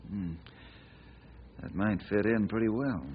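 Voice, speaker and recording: An actor playing a calm, practical ranger in an old radio western, plain-spoken and measured. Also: male voice, lowish voice, breathy edge. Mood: thoughtful. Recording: dull, noisy old radio recording.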